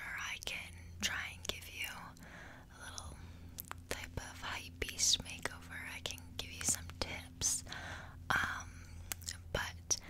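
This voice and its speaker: A young woman whispering an ASMR roleplay close to the microphone in casual, slangy, playfully boastful speech. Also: pushed and bright. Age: young adult. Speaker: ASMR creator. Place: small bedroom indoors. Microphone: desk microphone close to the mouth.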